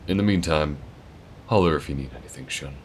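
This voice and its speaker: A man voicing a calm male alien gunslinger in an audio roleplay, speaking to the listener in a slow, folksy drawl with a patient, protective manner. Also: a bass voice, slight background noise. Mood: patient instruction. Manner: patient, steady instructing.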